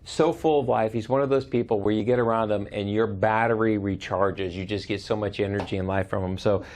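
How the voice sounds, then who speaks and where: A man's voice: on the low side, steady tone, fairly quick, a little mellow. A man co-hosting a casual chat show, enthusiastic and stumbling over repeated words. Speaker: podcast co-host.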